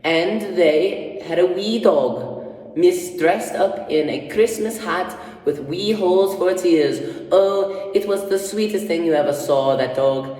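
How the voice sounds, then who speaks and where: A man voicing an excitable, sentimental female servant with an attempted Scottish accent in a rambling, folksy radio-play style. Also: high-pitched, takes it slowly. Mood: gushing. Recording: echoey room, distant microphone.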